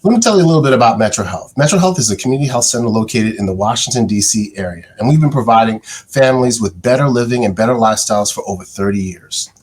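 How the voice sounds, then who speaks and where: A man giving formal welcome remarks as a health center chief executive, with halting phrasing. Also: medium pitch, animated, husky, rough recording.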